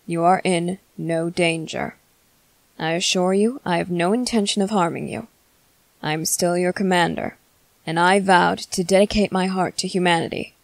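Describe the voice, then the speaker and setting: A woman voicing a composed, menacing male vampire commander in an ASMR roleplay, speaking formally and deliberately with dramatic pauses. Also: lowish voice, fairly even intonation, creaky, no room sound. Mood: calm, reassuring. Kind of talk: ASMR roleplay monologue to the listener.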